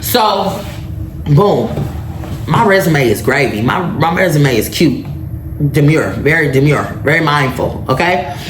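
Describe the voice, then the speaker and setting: A woman's voice: sits low, lively intonation, a little slow. A woman in her late thirties talks through a solo podcast in a loose, storytelling way. She keeps asking her listeners "right?" as she goes. Her voice rings in a roomy space with a faint hiss behind it. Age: 30s. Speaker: podcast host. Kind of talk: casual solo podcast monologue. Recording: echoey room with faint background noise.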